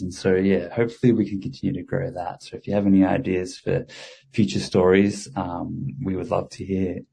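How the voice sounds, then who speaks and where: A man answering interview questions in a relaxed way, with many fillers and restarts. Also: low voice, creaky, slightly rough. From Australia. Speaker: guest, studio co-founder.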